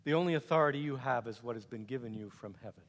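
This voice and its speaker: A man voicing a calm, quiet holy prisoner with soft, measured, grave speech within a dramatic monologue. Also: mid-range voice, a little hiss or hum, close to an everyday voice. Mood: calm, solemn. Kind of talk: quoted part in dramatic monologue. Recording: echoey hall with long reverb.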